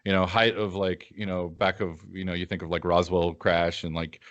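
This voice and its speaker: A man co-hosting a casual film podcast, piecing his thoughts together haltingly as he talks. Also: sits low, flat delivery, fairly bright tone, dull audio. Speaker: podcast co-host.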